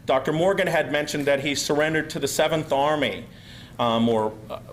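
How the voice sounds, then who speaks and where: A man from Pennsylvania giving a talk to a live audience, loud and rambling, full of fillers and restarts. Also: a little high, moderate pace, slight background noise. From Pennsylvania, USA. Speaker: guest speaker.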